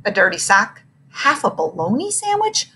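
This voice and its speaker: A woman reading a children's book aloud as expressive scripted narration. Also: mid-range voice, normal speed, slight background noise.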